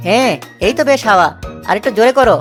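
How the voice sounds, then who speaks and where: Woman voicing a lazy, whining young village man, high-pitched. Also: fast, soft background music, lightly acted. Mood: drowsy, bossy. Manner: whining, carefree, dreamy about food. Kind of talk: cartoon story voice acting.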